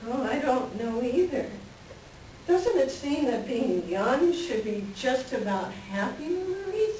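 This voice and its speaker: A woman's voice: high-pitched, big pitch swings, takes it slowly, a little mellow, full character voice. Woman acting a wistful housewife in an echoey room. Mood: subdued, pensive. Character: wistful married woman, dreamy, melancholy, recalling childhood. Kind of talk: staged reading of a one-act play. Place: library reading before a microphone at some distance. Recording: very echoey room, distant mic, faint noise.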